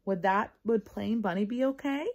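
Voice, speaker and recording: A woman's voice: mid-range voice, moderate pace, a little mellow, voice pushed up, lightly acted. A woman voicing a feisty, scheming little first-grade girl in a children's storybook, pleading and bargaining. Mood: hopeful scheming.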